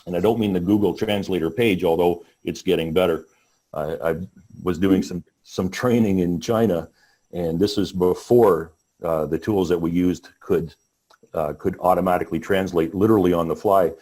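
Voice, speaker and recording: A man with a very low voice gives a business webinar presentation. He speaks haltingly, stopping and restarting his sentences and filling the gaps with "uh." He sounds like a panelist on a call. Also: fairly even intonation, mellow. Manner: halting, many restarts and fillers.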